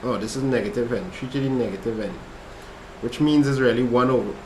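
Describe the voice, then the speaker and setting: A man's voice: mid-range voice, fairly quick, reverberant, a little hiss or hum, low-quality recording. A man with a Trinidadian accent who tutors maths over a casual livestream and chats with viewers between steps. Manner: relaxed, chatty, reads chat aloud while working problems. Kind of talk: casual livestream study session.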